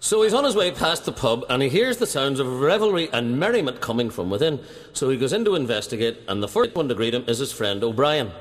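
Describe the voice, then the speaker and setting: A man's voice: medium pitch, lively intonation, fast, long reverb tail. A man telling a long comic story and building steadily toward its punchlines. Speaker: joke teller.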